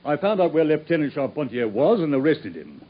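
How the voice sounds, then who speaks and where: A steady police inspector in a vintage radio play, probing a witness with patient questions. His husky voice moves lively up and down. The sound is dull and lo-fi. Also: a little high, fairly quick. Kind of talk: radio drama acting.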